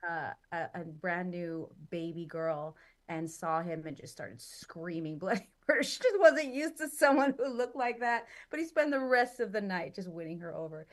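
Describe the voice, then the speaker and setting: A woman's voice: lowish voice, animated, normal speed. A woman born in Chile and raised in Southern California, chatting animatedly as an interview guest, with many fillers. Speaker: guest actress. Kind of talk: casual interview chat.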